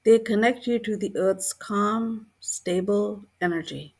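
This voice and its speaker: Calm woman guiding a meditation. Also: medium pitch. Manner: calm, gentle, unhurried, measured short phrases with pauses. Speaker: guided meditation teacher. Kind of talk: scripted guided meditation narration.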